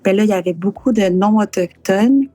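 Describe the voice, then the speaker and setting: A woman's voice: medium pitch, a little fast, dark tone, dry recording. A woman with a Quebec French accent talks casually and earnestly, as an artist giving an interview. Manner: informal, earnest. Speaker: artist and content creator, guest mentor.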